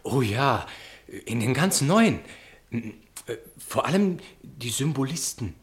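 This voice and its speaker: An actor playing an eager, lovelorn young admirer who speaks in hurried bursts in a 1960s German radio play. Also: male voice, medium pitch, very animated.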